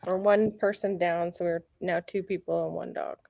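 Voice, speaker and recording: A woman's voice: on the low side, steady tone, moderate pace, creaky, warm tone, no room sound. Upbeat woman realtor host. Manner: chatty, upbeat, self-correcting. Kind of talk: casual real estate chat. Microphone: computer mic near a keyboard.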